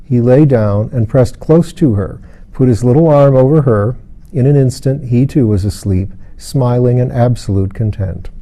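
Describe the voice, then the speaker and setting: Male audiobook narrator, literary storytelling, mid-range pitch, very warm dark timbre. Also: normal speed, poor audio. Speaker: audiobook reader.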